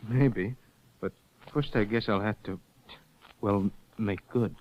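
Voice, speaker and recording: An actor playing a modest, hesitant young man named Sam in a 1940s radio drama, speaking softly. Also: fairly low pitch, slow, a little hiss or hum. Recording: dull old radio broadcast.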